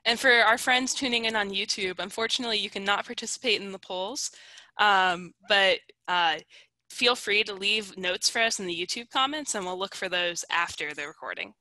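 A woman's voice: medium pitch, normal speed, bright, forceful tone, dry recording. A woman in her thirties hosting a webinar on a video call through a headset mic, bubbly and encouraging as she guides the audience. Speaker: webinar host. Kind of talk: upbeat webinar moderation.

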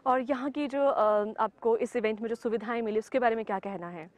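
A woman works as an interviewer on location outdoors. Her voice sits fairly high, and she puts polite questions with hesitations as she goes. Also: steady tone, brisk, darkish tone. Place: open grassy field in the mountains.

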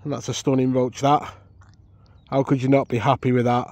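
A man's voice: mid-range voice, a little fast, dry recording. A man talking casually through a fishing session outdoors at a reservoir, explaining as he goes. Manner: relaxed, explanatory, chatty. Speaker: angler vlogger.

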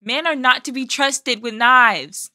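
An American woman in her twenties chatting casually as a reaction host, playful, animated and slangy, close on the microphone. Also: high voice, a little slow, no echo. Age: 20s. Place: small bedroom studio, indoors. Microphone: close boom-arm microphone.

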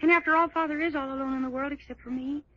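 An actress playing a spirited, anxious young bride-to-be in an old radio drama, earnest and petulant. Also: female voice, sits high, steady tone, rapid-fire, natural voice. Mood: pleading. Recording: dull vintage radio recording.

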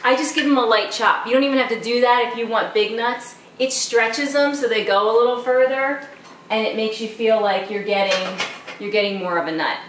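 A woman's voice: a little high, a little fast. A middle-aged woman walks through a recipe in her own kitchen, talking in a chatty, instructive way with easy asides. Her voice comes from a distance off the camera mic and rings in the room, over a faint household hum. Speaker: cooking show host. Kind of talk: casual cooking demonstration. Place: home kitchen, indoors. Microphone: camera mic from across the room. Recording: roomy, distant camera audio with light background noise.

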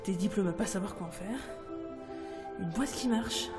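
A woman playing a sharp-tongued, sardonic book editor in an audio drama. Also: mid-range voice, moderate pace, close to an everyday voice. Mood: weary, bitter. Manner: cutting, sardonic. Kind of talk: French audio drama acting. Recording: music behind the voice.

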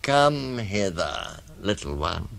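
A man voicing a sly, menacing crocodile in a storybook, with slow, drawn-out, whispery coaxing. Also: on the low side, sing-song, gravelly, fairly bright tone, full character voice. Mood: sly, secretive. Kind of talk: storybook character voice.

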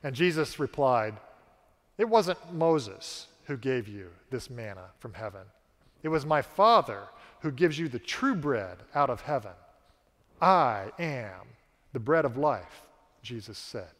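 A man in his forties preaching a sermon on a large church stage into a headset mic, slow and emphatic with dramatic pauses. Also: mid-range voice, very animated, a bit bright. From American South. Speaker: pastor. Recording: long reverb of a church hall.

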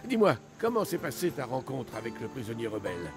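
A man's voice: mid-range voice, very animated, brisk, music in the background. An actor playing a genial, probing male philosopher in a French game dub, musing and teasing.

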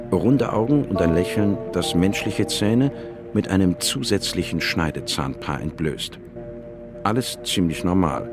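A middle-aged male scientist narrates a science fiction radio play. His voice is low, with a dry, wry delivery. Music plays clearly underneath. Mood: measured. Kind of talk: scripted first-person narration, German audio drama.

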